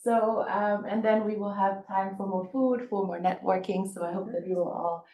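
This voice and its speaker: A woman speaking informally as the host of an event, addressing an audience in a halting way with repeated words. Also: medium pitch, fairly even intonation, dark tone. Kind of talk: informal hosting remarks to an audience. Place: indoor event space. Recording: echoey room with faint noise.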